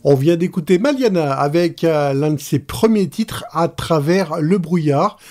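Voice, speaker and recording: A man interviewing casually on radio, halting as he searches for his words. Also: fairly high pitch, lively intonation, darkish tone, faint music behind.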